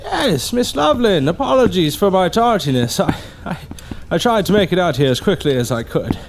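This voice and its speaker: An actor playing a polite, flustered male doctor who stammers, with a gravelly voice. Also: high voice, big pitch swings, moderate pace, a little hiss or hum, full character voice. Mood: concerned.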